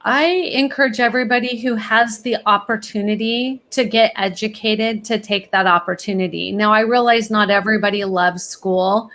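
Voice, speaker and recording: A woman's voice: mid-range voice, normal speed, few pauses, a bit bright. A middle-aged woman from California, a guest answering interview questions in an upbeat, encouraging and assured way. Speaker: guest, college planning executive.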